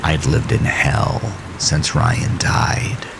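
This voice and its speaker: A man narrating a first-person horror story in a deliberate, suspenseful, dramatic way with a gravelly voice. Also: a bass voice, big pitch swings. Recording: rain and storm noise behind voice. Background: rain, storm ambience.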